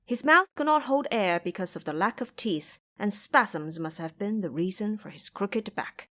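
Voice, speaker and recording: A woman's voice: mid-range voice, animated, normal speed, fairly bright tone. A woman reading scripted narration for an audio drama as its storyteller.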